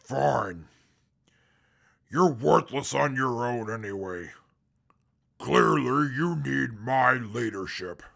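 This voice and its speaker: Male actor as imperious, scornful ex-scout for radio drama: rough-edged, bright and forceful, short fuse. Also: medium pitch, expressive, takes it slowly, pauses often, full character voice. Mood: grudging, haughty. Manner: haughty, scornful, formal wording. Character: arrogant, short-tempered, imperious male former wasteland scout. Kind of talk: post-apocalyptic audio drama acting.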